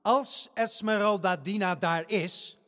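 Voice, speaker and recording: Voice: mid-range voice, slow pace, a bit bright, big-room sound. An actor telling a family radio play and playing a determined, insistent child, with dramatic, emphatic delivery. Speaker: actor-narrator.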